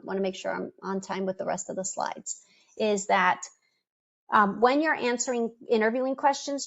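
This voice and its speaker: A woman whose first language is Spanish presents a career webinar over a video call. She speaks in a quick, conversational coaching tone with frequent ums. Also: medium pitch, muffled recording.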